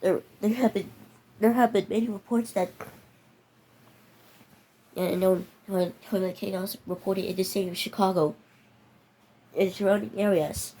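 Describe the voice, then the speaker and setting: Stumbling mock emergency announcer. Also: female voice, mid-range voice, steady tone, warm tone, low-quality recording. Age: teenager. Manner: halting, many restarts and stumbles. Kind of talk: improvised fake emergency broadcast.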